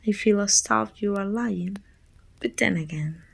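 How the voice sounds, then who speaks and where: A weary, bittersweet female supervillain, acted by a woman for an ASMR roleplay. Her voice is husky and breathy, with a crackling fry at the edges. She speaks in a pensive, deliberate way, often sinking toward a whisper. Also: medium pitch. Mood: solemn, probing. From Mexico.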